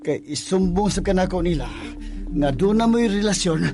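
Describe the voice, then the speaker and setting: A man's voice: high-pitched, expressive, moderate pace. A leering radio-drama harasser with a Visayan Filipino accent. His voice swings up and down as he pesters and taunts, repeating himself insistently, with faint music underneath. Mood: taunting, threatening. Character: lecherous, pestering man who taunts and threatens a maid.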